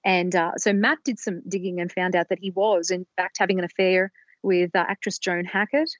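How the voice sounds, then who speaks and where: A woman co-hosting a podcast, explaining research in a measured storytelling way on a slightly dull, lo-fi recording. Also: on the low side, fairly even intonation, no echo. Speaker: podcast co-host presenting research.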